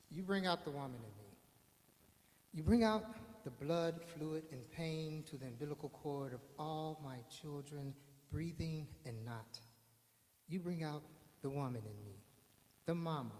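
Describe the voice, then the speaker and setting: A man, a poet and teacher, performing a rhythmic spoken-word poem on stage at a small live venue. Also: a little high. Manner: rhythmic, building recitation. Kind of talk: spoken-word poetry reading at a live show. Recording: live hall with long reverb.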